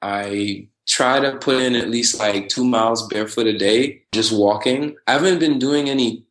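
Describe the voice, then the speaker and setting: A man in his twenties from Trinidad and Tobago, talking casually as a podcast guest, animated and quick to laugh. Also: medium pitch, rough recording. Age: 20s. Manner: animated, laughs often, spiritual slang-heavy talk. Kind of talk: casual conversational interview.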